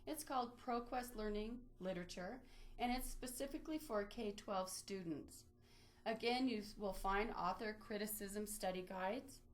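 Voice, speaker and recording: A woman's voice: mid-range voice, moderate pace. A woman presenting an instructional webinar, explaining things step by step as she walks through a screen demonstration. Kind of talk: instructional screen-share walkthrough.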